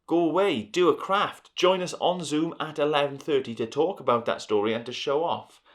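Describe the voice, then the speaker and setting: A man presenting and reading a story for children in a deliberate way, as host of a series. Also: fairly high pitch. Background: music.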